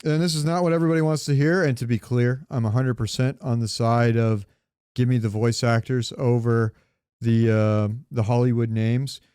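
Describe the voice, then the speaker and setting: A man's voice: medium pitch, fairly even intonation, moderate pace, darkish tone, clear, resonant tone, dry recording, clean studio sound. A man co-hosting a podcast in casual pop-culture chat, rambling and searching for words, with casual swearing. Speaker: podcast co-host.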